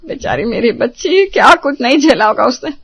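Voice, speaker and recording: A woman's voice: a little high, sing-song, normal speed, creaky, slightly rough, highs cut off, exaggerated voice. A woman playing an accusing, domineering middle-aged mother-in-law in a melodramatic Hindi family drama, scolding with bitter sarcasm. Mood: bitter, aggrieved.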